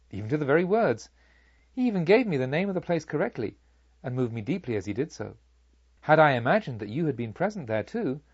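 Guy doing an earnest pilgrim for an audiobook, formal, reflective, husky, slightly muffled. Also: medium pitch, a little mellow. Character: Kamanita, earnest male pilgrim reflecting on his past. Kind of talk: audiobook character speech.

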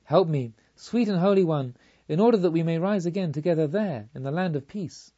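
Yearning male pilgrim, read by a man, mellow. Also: fairly high pitch, expressive, a little fast, muffled recording, voice pushed up. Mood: pleading. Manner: earnest, pleading. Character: yearning, restless young male pilgrim seeker.

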